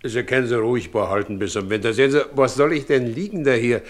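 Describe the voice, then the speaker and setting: An actor in a German radio play voicing a humble, troubled middle-aged widowed carriage driver, plain-spoken and halting. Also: male voice, mid-range voice, close to an everyday voice. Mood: plain, obliging.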